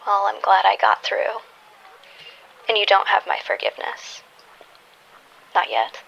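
A woman's voice: medium pitch, big pitch swings, a little slow, creaky, fairly bright tone, muffled recording, full character voice. A woman playing a guarded, wry superhero in a naturalistic audio drama, speaking tersely and dryly. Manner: terse, dry delivery. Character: guarded, wry, wounded female superhero with dangerous gaze.

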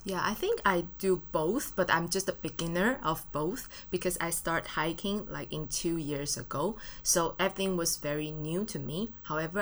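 A woman from Taiwan, answering as a studio guest, speaking English casually with fillers and grammar. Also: lowish voice. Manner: friendly, casual, uses fillers and non-native grammar. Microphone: studio mic.

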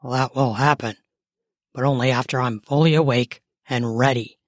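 A woman voicing a gruff, grumpy young man just woken from sleep, groggy and commanding. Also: a bass voice, steady tone, normal speed, dry recording, studio quality, voice pushed down. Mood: menacing.

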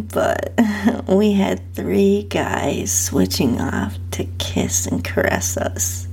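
Slow, breathy woman narrating in a husky hush. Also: medium pitch. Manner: slow, hushed, sultry delivery. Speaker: host and narrator of own story podcast. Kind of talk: intimate first-person story narration.

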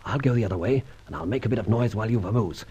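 An actor playing a suave, unflappable British gentleman adventurer in an old radio serial, well-spoken, wordy and ironically polite. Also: on the low side, rapid-fire, slightly rough, highs cut off. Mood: wary, brisk.

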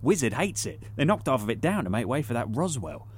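An actor playing a cheeky, cynical young British park worker, colloquial and teasing, in audio drama dialogue. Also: male voice, medium pitch, sing-song, fairly quick, slight background noise, lightly acted. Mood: wry.

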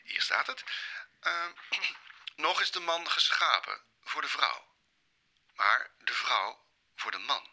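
An actor playing an earnest, anxious religious man who speaks haltingly in a live radio play. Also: medium pitch, expressive, pushed and bright, full character voice. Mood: hesitant, troubled. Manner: hesitant, halting, questioning. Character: earnest, anxious religious male holiday-park guest. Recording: live theatre stage recording.

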